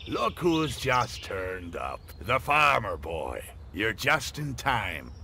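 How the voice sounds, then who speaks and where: An actor in a video game playing a shady, gruff pirate who talks in a mocking, bossy, swaggering way. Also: male voice, on the low side, wildly swooping pitch, slow pace, bright, forceful tone, a little hiss or hum, exaggerated voice. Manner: taunting, swaggering.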